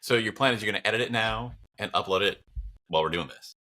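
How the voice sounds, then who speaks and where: A man's voice: lowish voice, fast talker, sharp tone. A man bantering casually as a podcast co-host, with a wry, playful and emphatic way of talking.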